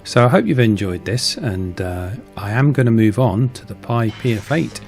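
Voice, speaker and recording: A man's voice: fairly low pitch, animated, moderate pace, a little mellow, soft background music. A middle-aged man who hosts a channel, casually narrating a hobby prop build. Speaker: host of a prop-making channel.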